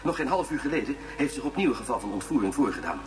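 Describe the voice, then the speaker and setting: An actor playing a brisk news reporter in a science fiction radio drama, reading urgently and emphatically. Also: male voice, mid-range voice, lively intonation, distant mic, over music, lightly acted. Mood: urgent, official. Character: brisk male news reporter on interplanetary bulletin.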